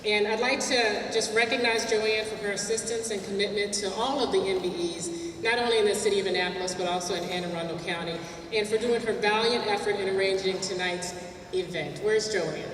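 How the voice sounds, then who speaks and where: A woman's voice: mid-range voice, steady tone, fairly quick, a little hiss or hum. A woman emceeing a business networking event in a hotel hall, with a big projecting voice and some filler words. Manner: loud projecting voice. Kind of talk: welcome speech at a business event. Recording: echoey hall, mic from far off.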